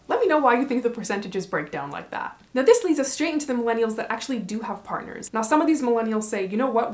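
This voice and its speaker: A young woman from Canada talking casually to camera as a vlogger, chatty and opinionated as she explains numbers. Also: a little high.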